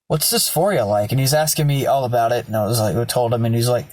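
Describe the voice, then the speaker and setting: Hoarse young guy vlogging at home, loose and rambling, swears a lot. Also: mid-range voice, fast, darkish tone, dry recording. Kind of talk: casual storytelling vlog. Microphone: self-filmed camera.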